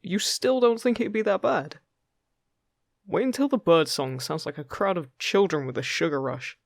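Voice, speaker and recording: Man playing a friendly, higher-voiced fairy guide. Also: normal speed, vocal fry, no room sound, clean studio sound. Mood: playful, instructive. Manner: encouraging, gentle, chatty. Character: friendly, upbeat fairy guide teaching magic at a festival. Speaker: voice actor in an audio roleplay. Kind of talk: intimate scripted roleplay addressed to the listener.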